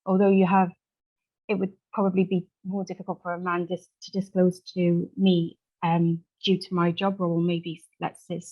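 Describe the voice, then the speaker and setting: A woman speaking off the cuff as a support worker in a group discussion, earnest and halting as she pieces her sentences together. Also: fairly low pitch, gravelly, lo-fi sound. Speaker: support worker, survivor engagement officer. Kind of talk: unscripted contribution to a group discussion.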